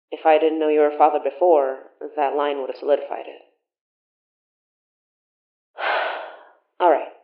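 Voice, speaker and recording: A woman's voice: sits low, level tone, a little slow, pauses often. An actress playing a weary, defiant young woman with magical senses in an audio drama, speaking casually and bluntly with a wry edge. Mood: weary, frustrated. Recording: muffled phone-like sound, roomy echo.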